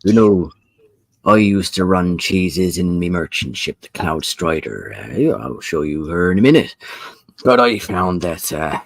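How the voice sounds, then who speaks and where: A man voicing a courteous goblin sky pirate captain with a gruff, husky voice and a sailor's turns of speech, in a tabletop game. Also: extremely low pitch, no echo, full character voice. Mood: reminiscing.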